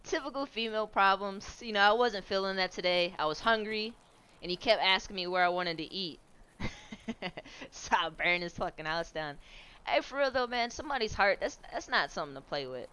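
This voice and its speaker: A low-voiced young woman talks into a headset at home as she plays, relaxed and foul-mouthed, trading banter with her chat. Her voice comes through smooth and clear, at an ordinary middle pitch. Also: brisk. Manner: laid-back, swearing, chatting with viewers, laughing often. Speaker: streamer. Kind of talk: casual chat while gaming.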